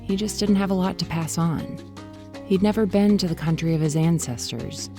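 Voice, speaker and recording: Low mellow lady host narrating, soft music under her. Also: moderate pace. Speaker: podcast host. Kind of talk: scripted narration.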